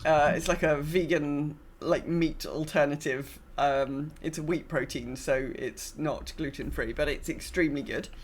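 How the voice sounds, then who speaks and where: A woman's voice: low voice, steady tone, a little slow, few pauses, a little hiss or hum. A woman with a British accent chatting casually as a podcast co-host, telling anecdotes and often repeating and correcting herself. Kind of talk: casual chat, personal anecdotes.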